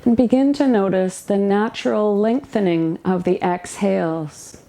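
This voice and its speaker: Woman softly guiding a relaxation meditation. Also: mid-range voice. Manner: soothing, gentle instruction with unhurried pauses. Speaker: yoga nidra relaxation guide, therapist. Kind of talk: guided meditation narration.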